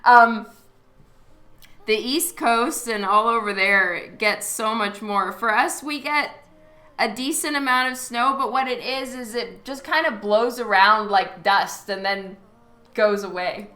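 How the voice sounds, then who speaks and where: A high-pitched woman chats unhurriedly with her stream audience while she draws. Her voice is hoarse and fading from a cold. She thanks each follower and laughs easily, in a roomy space with soft music playing behind. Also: slow pace. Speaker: artist streaming live. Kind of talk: casual chat with viewers while drawing.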